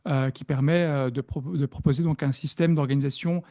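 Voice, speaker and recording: A man with a Belgian French accent talks as a podcast guest, measured and reflective, often pausing on fillers. His voice sits a little high but sounds warm and mellow. He explains ideas patiently, building them up step by step. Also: steady tone. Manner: reflective, hesitant with frequent fillers. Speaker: productivity coach, guest. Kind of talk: podcast interview.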